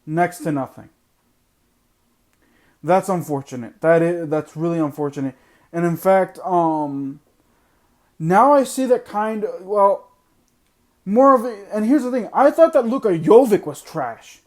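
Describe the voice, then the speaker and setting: A man's voice: sits high, expressive, unhurried, pauses often. A man hosting a sports podcast, ranting bluntly and repeating his points for emphasis while losing his voice. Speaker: podcast host. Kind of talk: opinionated sports podcast monologue.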